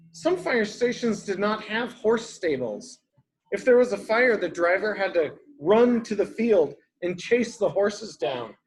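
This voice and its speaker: Slow-paced man reading aloud to children. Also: high-pitched, low-quality recording. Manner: simple, repetitive explaining for young children. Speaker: early education teacher reading to children. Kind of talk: read-aloud picture book with explanations and questions.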